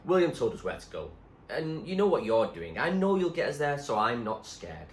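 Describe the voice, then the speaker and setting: A man's voice: medium pitch, big pitch swings, moderate pace, slight background noise, pitched above the performer's own voice. Man as a plain-spoken boy, raised voice, echoey. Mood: calm, trusting. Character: Munchkin, plain-spoken trusting boy, brave companion at sea.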